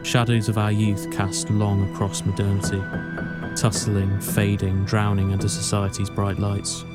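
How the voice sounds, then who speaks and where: Casting: male actor as brooding young student narrator, low and very even, measured, poetic film voice-over over loud music. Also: close to an everyday voice. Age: young adult. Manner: measured, reflective, melancholy. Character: wistful young male student returning home. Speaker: narrator and lead of a short film. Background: prominent music.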